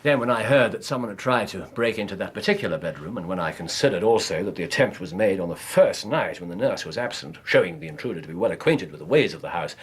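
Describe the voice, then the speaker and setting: An incisive Victorian English detective in a radio drama. His voice is low and husky, swinging widely in pitch. He speaks with precise, commanding, theatrical emphasis. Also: lively intonation, quick pace, hardly any pauses. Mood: brisk, explanatory.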